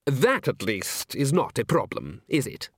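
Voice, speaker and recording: A man voicing a calm, dryly sardonic opera music director in an audiobook, with a measured, patient, deadpan manner. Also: mid-range voice, breathy edge, no echo, clean studio sound, heavily acted. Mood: reassuring.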